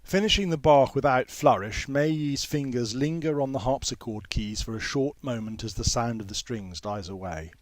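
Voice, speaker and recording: A man narrating a literary story from a script in a precise, measured manner. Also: medium pitch, no room sound. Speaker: author and narrator.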